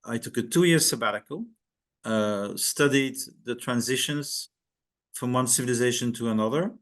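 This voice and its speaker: A man's voice: medium pitch, moderate pace. An older man from Belgium, a guest speaker giving a reflective talk over a video call, hesitant with many fillers and restarts. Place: home in Thailand, video call.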